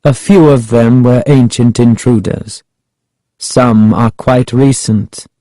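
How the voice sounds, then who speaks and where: A man voicing a menacing, fanatical guardian of an ancient curse in an audiobook, proclaiming solemnly and deliberately. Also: medium pitch, fairly even intonation, dark tone, dry recording, lightly acted, poor audio. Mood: cold, proud. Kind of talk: audiobook character acting.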